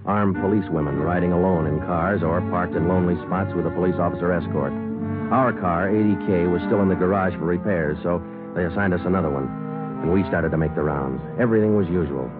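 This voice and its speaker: A man playing a terse, steady police sergeant in an old radio crime drama, clipped and deadpan. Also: low voice, fast talker, lightly acted. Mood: matter-of-fact. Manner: clipped, matter-of-fact, deadpan. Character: terse, steady police sergeant detective narrating his case. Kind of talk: 1950s radio crime drama acting and narration. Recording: muffled old radio recording with music.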